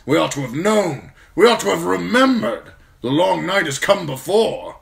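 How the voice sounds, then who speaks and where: A man voicing a blunt, gruff old military lord who gives brusque orders, in an audiobook reading. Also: high voice, big pitch swings, normal speed, pitched above the performer's own voice, heavily acted.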